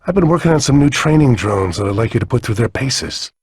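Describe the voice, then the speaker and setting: An actor playing a commanding military villain in a video game, deliberate and approving with an undertone of menace. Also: male voice, medium pitch, lively intonation, slightly rough, no room sound, studio quality. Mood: calculating. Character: commanding male military villain, smooth-talking recruiter.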